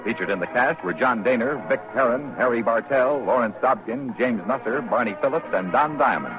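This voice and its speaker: A man's voice: mid-range voice, lively intonation, moderate pace, over music. A man with an American accent reading credits as a 1950s radio announcer. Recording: dull old radio broadcast.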